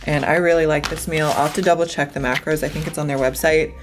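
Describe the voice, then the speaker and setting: A woman's voice: fairly even intonation, quick pace, background noise. A woman in her thirties, chatting upbeat to the camera held at arm's length as she vlogs her day. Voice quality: deep morning voice. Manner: chatty, upbeat, addresses viewers directly. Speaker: vlogger. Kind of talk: casual vlog narration. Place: car, office, outdoors. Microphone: handheld camera at arm's length.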